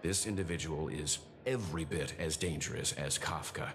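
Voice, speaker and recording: A man playing a grave, composed investigator and strategist in scripted game dialogue, speaking in a measured, deliberate way. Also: extremely low pitch. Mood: grave warning. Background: game soundtrack music.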